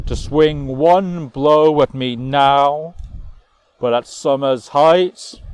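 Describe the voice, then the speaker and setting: A man voicing an imposing frost giant in a storytold fantasy tale, solemn and menacing, with slow declamatory speech. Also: a little high, a little mellow, smooth, no echo, voice pushed up.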